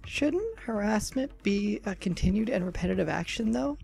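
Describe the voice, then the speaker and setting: A woman's voice: medium pitch, expressive, moderate pace, gravelly, darkish tone, breathy edge, faint music behind. A woman playing a reasoning, defensive online debater in a dramatic reading of an argument. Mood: measured, defensive.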